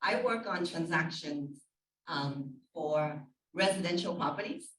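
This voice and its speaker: A middle-aged woman speaking as an escrow officer on a panel, friendly and measured. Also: sits low, animated, fairly bright tone. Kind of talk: panel talk. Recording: echoey room, distant mic.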